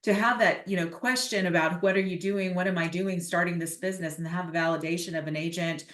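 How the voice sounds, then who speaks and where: Female podcast host, lower pitch, encouraging, recorded in an echoey room. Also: steady tone, a little fast. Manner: warm encouraging affirmations, restarts her questions. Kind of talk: interview questions, casual.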